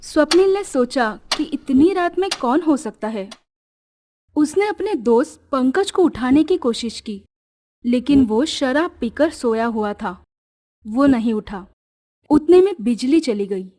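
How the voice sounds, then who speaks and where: A woman's voice: high voice, lively intonation, measured pace, noisy background. A woman narrating a scripted horror story as a storyteller. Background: music.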